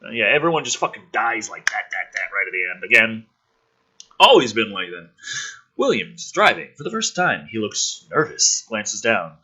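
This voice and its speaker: A man's voice: lowish voice, sing-song, normal speed, sharp tone, breathy edge, rough recording. A man dramatically reading a script aloud as host, with sarcastic, sweary asides thrown in.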